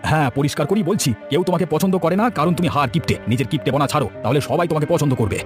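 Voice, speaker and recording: Cartoon village good neighbour male actor: frank, reassuring, extremely quick talker, soft music behind. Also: on the high side, close to an everyday voice. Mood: frank advice. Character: helpful, frank village man, kindly scolding. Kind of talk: Bengali cartoon story voice acting.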